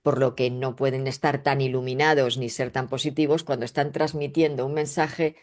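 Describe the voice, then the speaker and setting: Low-voiced, emphatic woman from Spain explaining. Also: steady tone, moderate pace. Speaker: guest, astrologer and spiritual researcher. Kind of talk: conversational interview explanation.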